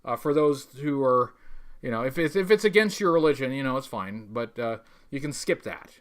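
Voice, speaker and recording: A man hosting a casual livestream, talking in a rambling, halting, stop-start way with a wry, tongue-in-cheek manner as he chats with viewers. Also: mid-range voice, expressive. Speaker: livestream host. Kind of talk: casual livestream chat reading viewer messages.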